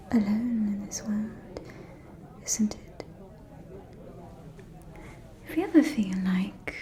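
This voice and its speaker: A woman playing a scheming, falsely caring aristocratic vampire in a whispered ASMR roleplay, with a soft, breathy, silky and insinuating delivery. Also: fairly high pitch. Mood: falsely concerned. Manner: slow, silky, insinuating, condescending.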